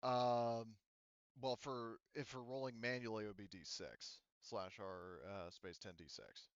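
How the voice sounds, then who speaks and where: A man's voice: lowish voice, measured pace, clean tone, no echo, dull audio. A man chatting casually as game master of an online tabletop game, rambling and often backtracking to rephrase himself.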